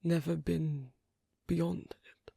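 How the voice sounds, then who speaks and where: A performer playing a shy, bookish, isolated swan king in intimate ASMR roleplay, speaking halting English with a foreign accent in a creaky half-whisper. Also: mid-range voice, steady tone, warm tone, no echo, studio quality, exaggerated voice. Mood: shy wonder. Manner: halting, hesitant, clipped non-native phrasing.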